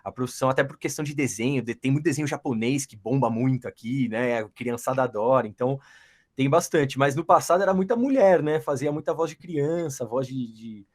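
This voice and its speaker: A man's voice: mid-range voice, expressive, brisk, a little mellow. A Brazilian man, a professional voice-over artist, chatting casually about his experience and repeating words as he goes. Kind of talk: casual chat, telling his own experience.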